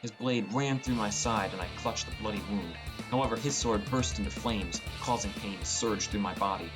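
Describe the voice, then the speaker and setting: Guy narrating fantasy audiobook, lowish voice, guitar music going behind him. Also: moderate pace. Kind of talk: first-person scripted fantasy narration. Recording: music bed under voice. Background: prominent plucked guitar music.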